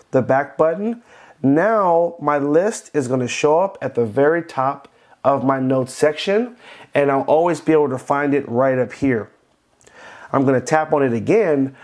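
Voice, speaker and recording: Higher-pitched man giving a tech tutorial. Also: darkish tone. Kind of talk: step-by-step spoken walkthrough for beginners.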